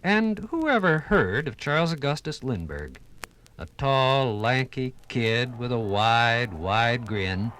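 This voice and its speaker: An American man narrating a 1950s radio documentary in a theatrical, oratorical manner with dramatic pauses. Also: mid-range voice, very animated, fairly bright tone. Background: music.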